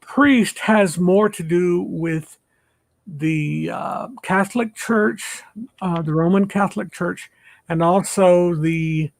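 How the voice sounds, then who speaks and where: Retired pastor host, older man, high gravelly voice, dry close sound, hesitant and rambling. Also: slow, no room sound. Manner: rambling, hesitant, many fillers. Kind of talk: casual online chat.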